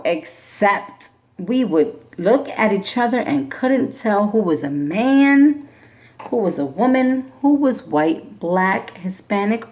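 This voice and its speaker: A woman teacher reads a children's book aloud to her class. Her delivery is slow and deliberate, and her pitch swings widely from line to line. She keeps the same unhurried pace when she explains the class activity. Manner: slow, expressive storybook read-aloud. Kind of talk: reading a picture book aloud to students; classroom instructions.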